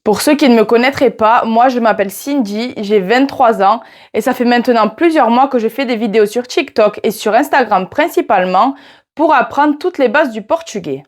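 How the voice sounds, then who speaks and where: A woman in her twenties with a southern French accent, chatting casually to camera about teaching Portuguese and often turning to the viewer. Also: a little high. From France. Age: 20s. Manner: chatty, addresses viewer directly with "tu vois". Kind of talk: casual vlog talking to camera.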